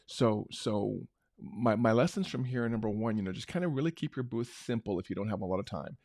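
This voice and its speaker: A middle-aged man hosting a marketing show, talking unscripted in a loose, conversational way with a big booming voice. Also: a little fast, a little mellow, no room sound, clean studio sound. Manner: unscripted, conversational, many restarts. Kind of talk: casual talk-show recap.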